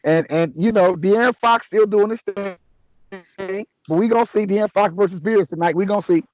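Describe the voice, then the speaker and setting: A man hosting a casual sports chat, a little raspy from a sore throat, talking in a laid-back, joking way with many restarts. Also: sits high, fairly quick, darkish tone, no echo, highs cut off. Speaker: podcast host.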